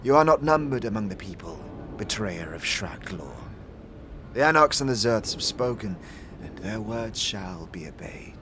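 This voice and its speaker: A man acts out a severe warrior woman from a fantasy audio drama. Her speech is formal and archaic, full of scorn and accusation. Soft music sits behind the voice. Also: fairly low pitch, very animated, normal speed. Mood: scornful. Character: stern, hostile, scornful female alien warrior.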